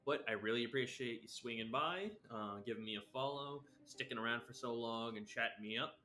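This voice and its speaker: A man's voice: mid-range voice, brisk, pushed and bright. A man chatting casually to viewers as he live streams a video game.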